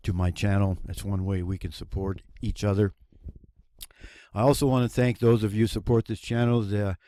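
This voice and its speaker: A man from the United States, hosting his own channel in a solo monologue, talking slowly and deliberately with a preachy emphasis and halting restarts. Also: medium pitch, darkish tone, clear, resonant tone, no echo. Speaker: channel host. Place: cold home running on a generator.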